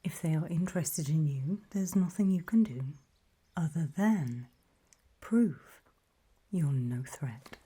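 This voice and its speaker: Breathy, slow whispering woman. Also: sits low, animated. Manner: slow, deliberate, hushed, pausing between short phrases. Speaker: ASMR roleplay host. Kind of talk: whispered ASMR roleplay narration, guiding the listener.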